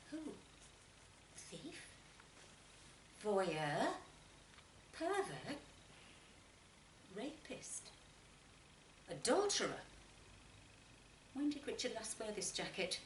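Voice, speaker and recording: A woman reading literary fiction aloud as an expressive narrator, with deliberate phrasing and dramatic pauses. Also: medium pitch. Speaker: short story reader. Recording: roomy, distant mic, faint noise.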